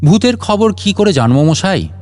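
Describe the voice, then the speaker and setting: Audio story role man acting: elderly gentleman, unflappable and wry, chatty indignation, higher pitch, faint music behind. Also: big pitch swings, moderate pace. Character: elderly, unflappable, sarcastic, talkative gentleman scientist.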